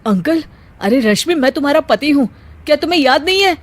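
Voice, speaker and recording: A woman's voice: high-pitched, expressive, a little fast. A woman voicing concerned, earnest men, a husband and a doctor, in a cartoon story.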